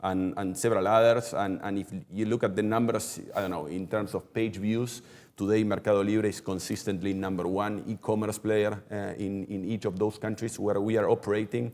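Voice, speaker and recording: A middle-aged man, a logistics executive giving steady, explanatory answers in an interview, repeating words as he thinks. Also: fairly low pitch, normal speed. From Argentina. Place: company headquarters office.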